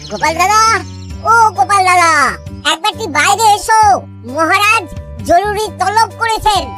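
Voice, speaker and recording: A man's voice: very high-pitched, moderate pace. A man voicing a royal footman in a cartoon, calling out insistently. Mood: urgent. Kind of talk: animated cartoon dialogue. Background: children's cartoon music.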